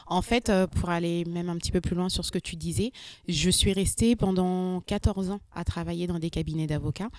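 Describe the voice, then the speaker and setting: A woman in her thirties from France talks as an interview guest. Her voice sits fairly low, and she pauses often with fillers as she explains herself. The sound is dry, with no room echo. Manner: hesitant, many pauses and fillers. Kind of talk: casual interview talk.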